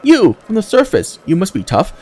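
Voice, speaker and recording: A man's voice: high voice, wildly swooping pitch, moderate pace, darkish tone, husky, voice pushed up, full character voice. A man voicing a brash, greedy mongrel woman trader from a fantasy game, with blunt hawker's patter. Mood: brash, hard-selling.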